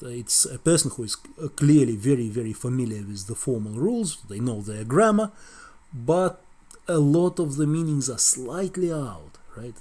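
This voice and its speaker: A man's voice: mid-range voice, mellow, breathy edge. A middle-aged man with a Russian accent giving a wry, conversational lecture on translation, often ending points with tag questions. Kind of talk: explanatory video lecture.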